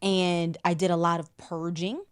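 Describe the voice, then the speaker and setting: A middle-aged woman, a psychic guest in an interview, explaining in a measured way and often repeating a word while she finds the next. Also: lowish voice, flat delivery, smooth. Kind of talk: spiritual interview talk.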